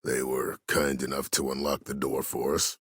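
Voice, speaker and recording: An older military mastermind for a video game, with an extremely low, husky voice. He speaks formally and with measured, silky politeness that carries quiet menace. The sound is dry and close. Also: level tone, no echo, heavily acted. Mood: measured, ominous. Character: calculating, courteous, menacing older male military schemer. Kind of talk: dramatic video game voice acting.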